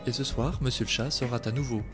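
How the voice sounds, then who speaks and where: A man tells a scripted podcast story in a wry voice pitched in the middle range. Music plays clearly behind him. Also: steady tone, fast. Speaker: narrator.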